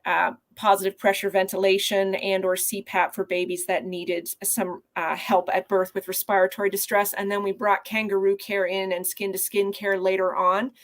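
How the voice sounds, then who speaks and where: A woman's voice: medium pitch, level tone, moderate pace, few pauses. Earnest woman explaining on a video call. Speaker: guest physician. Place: small indoor office. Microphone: video call webcam.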